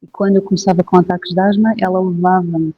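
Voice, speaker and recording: A woman from Portugal with a European Portuguese accent, a guest herbalist telling her story in a relaxed, hesitant way with many fillers. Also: lowish voice, fast, husky, no room sound. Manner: reflective, hesitant, with frequent filler phrases. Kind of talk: casual interview conversation. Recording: dull, dry lo-fi recording.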